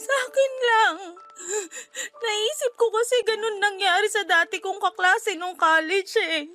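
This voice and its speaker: A woman's voice: very high-pitched, unhurried, bright, forceful tone, faint music behind, exaggerated voice. A woman playing an anguished, fearful wife who longs for a baby, speaking in a tearful, pleading voice in a radio drama. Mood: fearful, sad. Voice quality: tearful, sobbing voice. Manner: pleading, lamenting. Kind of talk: Tagalog radio drama acting.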